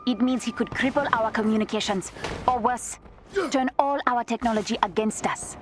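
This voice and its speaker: A woman playing a cheeky, tech-genius young princess with an African accent in a video game, briefing in a crisp, playful way. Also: fairly high pitch, moderate pace, slight background noise, natural voice. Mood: concerned.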